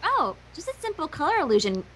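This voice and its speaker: A woman playing a modest, brainy young female unicorn pony, explaining things briskly. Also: sits high, wildly swooping pitch, fairly bright tone, heavily acted. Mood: pleased, modest.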